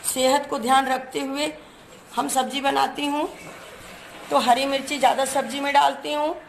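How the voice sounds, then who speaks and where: A woman's voice: high voice, flat delivery, moderate pace, vocal fry, slight background noise, rough recording. A woman, hosting a cooking channel and chatting through each step while she shows her ingredients in a home kitchen. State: hoarse from a cold.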